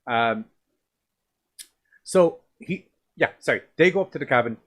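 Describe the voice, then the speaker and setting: Deep-voiced sweary podcast host. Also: male voice, expressive, unhurried. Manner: sweary, rambling, restarts often. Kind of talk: casual movie review chat.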